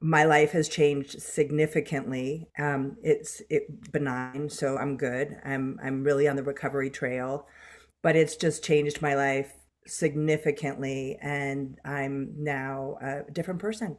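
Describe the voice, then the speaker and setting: An older woman, an actress and workshop leader, speaking in a measured, heartfelt way on a video call. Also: a bass voice. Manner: measured, heartfelt, even-toned.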